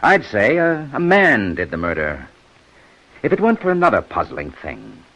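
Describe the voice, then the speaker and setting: Shrewd, commanding old-time radio police inspector. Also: male voice, on the low side, huge pitch swings, fairly bright tone, exaggerated voice, poor audio. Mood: shrewd, musing. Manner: deliberate, probing, pointed questioning. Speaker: radio drama actor playing the lead detective. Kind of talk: 1950s radio detective acting. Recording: old 1950s radio recording.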